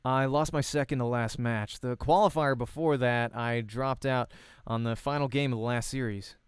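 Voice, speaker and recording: A man's voice: mid-range voice, fairly even intonation, clear, resonant tone, no room sound, clean studio sound, lightly acted. Man acting a hesitant, awkward young amateur gamer. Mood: sheepish. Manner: hesitant, halting.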